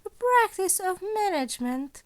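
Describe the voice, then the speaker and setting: Woman voicing a high-pitched, sly little principal creature. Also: very animated, measured pace, exaggerated voice. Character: amused, scheming principal creature, feigning innocence, polite mentor. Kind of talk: fan fiction character voice.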